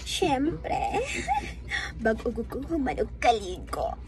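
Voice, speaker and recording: A woman's voice: a little high, sing-song, slow pace, darkish tone. A woman with a Cebuano accent playing a playful, flirtatious lover in a radio drama, giggly and coy. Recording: noisy radio drama track.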